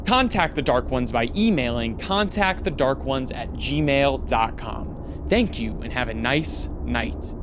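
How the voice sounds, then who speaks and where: A man's voice: medium pitch, expressive, unhurried, noisy background. A man narrating and announcing a radio drama in a scripted style. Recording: loud music under the voice.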